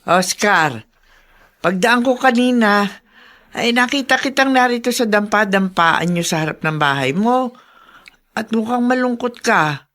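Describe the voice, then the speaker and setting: A woman's voice: on the high side, animated, a little slow, long pauses, a bit bright. An actress playing a frank, concerned mature woman confidante in a radio drama, speaking in a coaxing, conversational way. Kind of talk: Tagalog radio drama acting.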